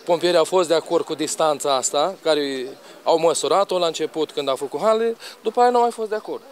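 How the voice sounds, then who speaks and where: A man in his forties, a market trader complaining in a street interview into a reporter's handheld microphone. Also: fairly high pitch. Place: outdoor bazaar lane among stalls.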